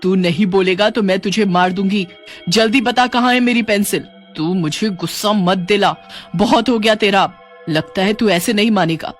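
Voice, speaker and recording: A menacing female witch, acted out by a man for a horror storybook, speaks in a raised pitch over music. She is short-tempered and demanding, full of threats. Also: moderate pace, natural voice. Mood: threatening, angry. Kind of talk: dramatic Hindi horror storybook acting.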